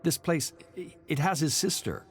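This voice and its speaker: A man's voice: fairly high pitch, animated, moderate pace, a little hiss or hum, pitched above the performer's own voice. Man acting an urgent, stammering survivor. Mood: urgent, pleading. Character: urgent male survivor pleading with his group.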